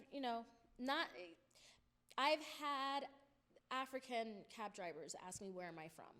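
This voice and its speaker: Woman on a panel, thinking out loud, lots of you-knows, mid pitch. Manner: thoughtful, frequent "you know" fillers. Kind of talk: panel discussion. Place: college lecture hall.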